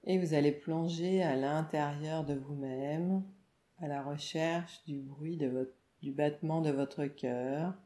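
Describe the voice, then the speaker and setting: A woman meditation teacher leading a guided relaxation in a calm, soothing, instructional way, with short phrases and pauses. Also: low voice. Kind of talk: guided yoga nidra relaxation narration. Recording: roomy, echoing voice recording.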